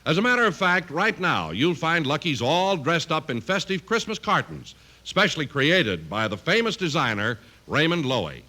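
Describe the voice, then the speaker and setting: Male announcer, forceful bright tone, fairly high pitch, emphatic sales pitch, old radio. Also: very animated, moderate pace, few pauses. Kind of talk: 1950s scripted radio advertising and credits.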